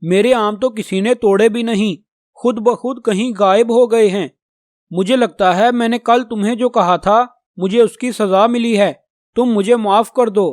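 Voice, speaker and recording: A man's voice: high voice, steady tone, moderate pace, a little mellow, no room sound, studio quality, natural voice. A man playing a proud, grudging talking mango tree in a children's cartoon, speaking emphatically. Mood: worried, sorry.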